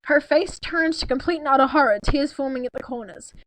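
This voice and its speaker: A woman's voice: sits high, brisk, dry recording, low-quality recording. A young woman reading a story aloud in a casual, incredulous way, breaking off into informal asides. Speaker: co-host reading fan fiction aloud. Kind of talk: casual read-aloud with mocking commentary.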